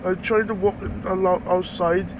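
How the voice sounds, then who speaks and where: A man with a halting, hesitant delivery, informally commenting on horror footage as a video narrator. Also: high voice, little pitch movement. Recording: very noisy home recording.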